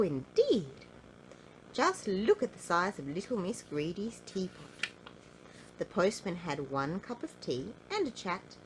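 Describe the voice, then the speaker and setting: A woman narrates a picture book for young children with a slightly low voice. Her pitch moves up and down a lot, and she stretches key words playfully. There is faint noise behind her. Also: low-quality recording. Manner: expressive, playful, drawn-out emphasis on key words. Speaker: storybook reader. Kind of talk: animated children's story narration.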